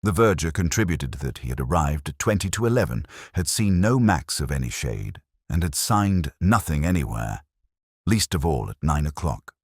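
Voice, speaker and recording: A man narrating a mystery story as a scripted reading, in a measured, dryly literary manner. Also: rumbling low, sing-song, slightly rough, no room sound, studio quality.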